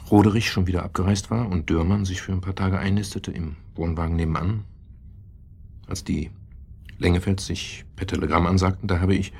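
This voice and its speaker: An actor playing a brooding, self-justifying man in a radio drama monologue, recalling things in a deliberate, halting way. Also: low-pitched, vocal fry, a little mellow, breathy edge, full character voice.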